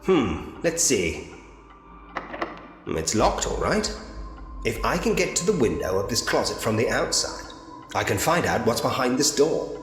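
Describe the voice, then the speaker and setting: Lively, echoing Victorian gentleman detective. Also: medium pitch, a little slow, pauses often. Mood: musing, curious. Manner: musing to himself, genteel, drily humorous. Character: polite, dryly whimsical male Victorian police inspector investigating hauntings. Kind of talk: point-and-click adventure game voice acting. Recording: reverberant room with long echo. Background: game music.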